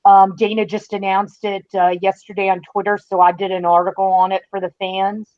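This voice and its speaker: A woman's voice: mid-range voice, little pitch movement, a little fast. Casual woman guest, slightly dull audio. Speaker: podcast guest, fan-site writer. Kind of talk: casual informative chat.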